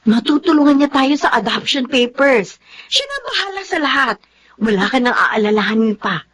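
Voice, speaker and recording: An actress playing an earnest, regretful relative in a Filipino family drama, speaking deliberately and with a sighing manner. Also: female voice, fairly high pitch, sing-song, a bit bright. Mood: earnest, persuasive.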